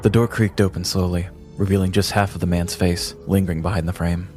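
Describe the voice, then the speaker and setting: A man narrating a comedy audio story in a measured, steady way. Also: low-pitched. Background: music.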